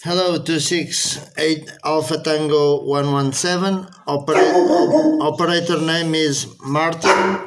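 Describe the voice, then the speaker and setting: A man's voice: on the high side, steady tone, a little slow, a bit bright, faint music behind, rough recording. A man from Portugal with a Portuguese accent, speaking as an amateur radio operator making contact calls.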